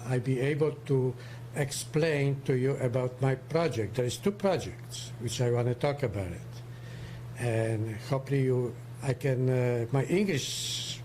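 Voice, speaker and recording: Man, 70s, strong Polish accent, hesitant and searching for words, mellow, faint background hum. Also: mid-range voice. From Poland. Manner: halting, searching for English words. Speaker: co-host. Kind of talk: casual livestream talk.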